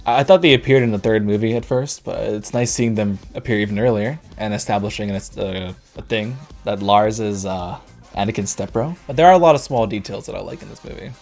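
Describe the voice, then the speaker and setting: A man casually reviewing movies, rambling and correcting himself, his voice worn and going hoarse. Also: fairly low pitch, fairly quick, a little mellow, faint music behind. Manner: rambling, self-correcting, laughs often. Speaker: YouTube reviewer.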